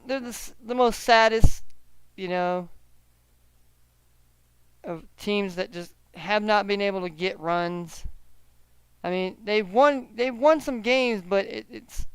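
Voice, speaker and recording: A man hosting a casual solo sports betting chat, often halting and repeating himself as he talks through his picks. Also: high voice, smooth, no room sound. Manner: halting, repeats words, hedging gut calls. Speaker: sports betting show host.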